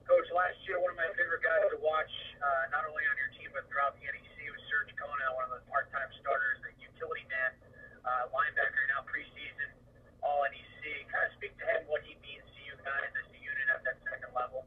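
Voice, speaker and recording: Distant thin phone audio, man, American accent, husky, fairly high voice, asking questions on conference call. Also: level tone, fast, a little hiss or hum. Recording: muffled, distant telephone line.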